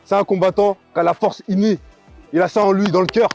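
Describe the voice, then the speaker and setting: A man's voice: sits high, fairly even intonation, a little fast, darkish tone, faint music behind. A man talking up a fighter to camera.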